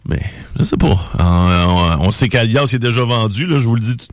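A man's voice: medium pitch, animated, normal speed, dry recording. A man in his forties with a Québécois French accent, hosting a show in a colloquial, teasing, chatty way. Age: 40s. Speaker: radio show host. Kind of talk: casual chat with co-hosts.

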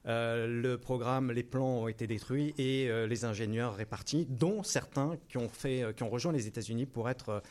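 A man of middle pitch speaks as a guest in an interview. His delivery is very halting, full of hesitations and doubled words. He explains things off the cuff, in small pieces. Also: a little mellow. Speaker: interview guest, operations director in aerospace. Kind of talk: unscripted explanatory interview answer.

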